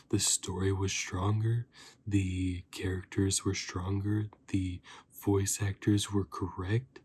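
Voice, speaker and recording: A man giving a softly spoken ASMR review, hushed and sometimes slipping into whispers. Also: on the low side, breathy edge. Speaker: host of an ASMR review channel.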